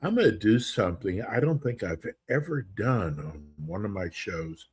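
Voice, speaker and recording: A man's voice: on the low side, big pitch swings, moderate pace, faint music behind. A man in his sixties hosting a casual radio talk show, upbeat and earnest in a folksy way. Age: 60s.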